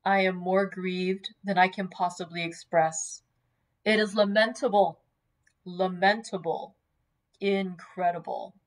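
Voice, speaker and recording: A woman reading a novel as a stern, cold man with a sharp, clipped, disdainful way of speaking. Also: mid-range voice, a little slow, lightly acted, low-quality recording. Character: stern, cold, contemptuous man of quiet authority.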